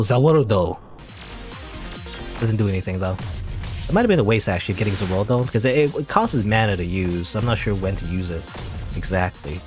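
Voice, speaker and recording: A man's voice: on the low side, darkish tone. Chatty man rambling over gameplay. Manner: rambling, chatty, self-correcting. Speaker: gaming channel host. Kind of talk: casual let's play commentary.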